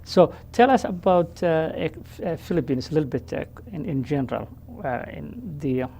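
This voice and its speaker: Very low gravelly-voiced woman host. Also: sing-song, dark tone, slight background noise. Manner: halting, many fillers and restarts. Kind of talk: casual interview.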